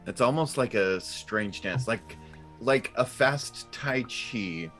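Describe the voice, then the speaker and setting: A man's voice: medium pitch, takes it slowly, fairly bright tone, soft background music. A man playing a tabletop role-playing game, chatting casually and thinking aloud as he describes his moves. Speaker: player in a tabletop RPG. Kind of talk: casual improvised game chat.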